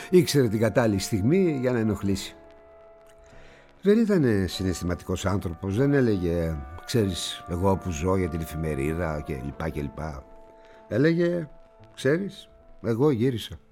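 An actor playing a worldly, condescending older newspaper publisher in a radio drama, speaking with musing pauses. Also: male voice, on the low side, sing-song, warm tone, soft background music. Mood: wry, musing. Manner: theatrical, patronizing, with musing pauses.

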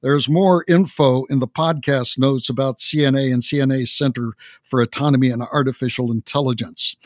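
A man hosting an interview podcast, who speaks in a measured way and asks follow-up questions. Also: medium pitch, steady tone, dry recording, clean studio sound. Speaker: podcast host.

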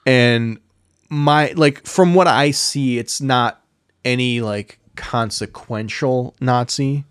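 A man's voice: mid-range voice, slow pace, clean tone, no echo, studio quality. A young man co-hosting a podcast, talking casually in a rambling way with lots of fillers and laughing often. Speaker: podcast co-host.

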